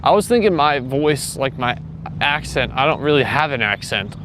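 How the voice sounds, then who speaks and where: A man's voice: medium pitch, animated, moderate pace, noisy background. A man hosting a live morning walk stream, chatting casually and rambling with lots of fillers as he answers his chat. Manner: rambling, filler-heavy, reads chat aloud. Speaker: livestream host. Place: outdoors, walking.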